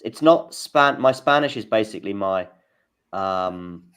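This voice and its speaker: Guy hosting a tennis watchalong, kinda low voice, lots of stop-start restarts. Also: expressive, a bit bright, clear, resonant tone. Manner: halting, restarts, reads out scores. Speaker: host. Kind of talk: casual watchalong chat.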